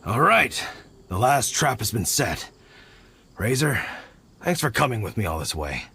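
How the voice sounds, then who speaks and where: A man's voice: mid-range voice, sing-song, unhurried, creaky, sharp tone, slight background noise, exaggerated voice. A man playing an earnest, practical hunter in a video game, plain-spoken and explanatory. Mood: friendly.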